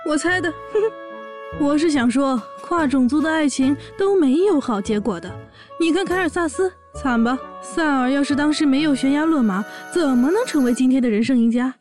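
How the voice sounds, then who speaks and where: A woman's voice: sits high, lively intonation, normal speed, darkish tone, soft background music. A woman playing a brash, boastful pregnant orc warrior, a sassy and bossy older sister, in a fan audio drama. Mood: wry, gossipy. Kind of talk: audio drama acting and narration.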